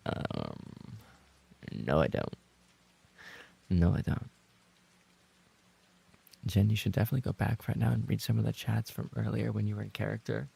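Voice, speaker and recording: Male streamer, low creaky voice, slightly dark and a bit husky, casual chat delivery, playful mock-indignant, sweary. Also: expressive, moderate pace, pauses often. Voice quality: gravelly, creaky. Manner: playful mock-indignant, sweary, repeats phrases when flustered. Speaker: livestream host and roleplay voice actor. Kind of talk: casual livestream chat, reading and reacting to viewer messages.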